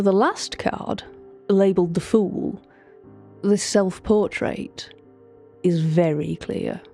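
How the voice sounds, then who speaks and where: A woman's voice: lowish voice, mellow. A woman playing an anxious, wordy narrator confiding strange events in an audio drama monologue. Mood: measured, ominous. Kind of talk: audio drama monologue, recorded-tape account.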